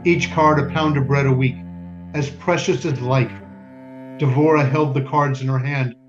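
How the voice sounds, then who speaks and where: Male actor as grave husband and father, solemn first-person stage narration, measured, dull recording, music behind. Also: mid-range voice, lightly acted. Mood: somber, remembering. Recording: dull band-limited sound under music.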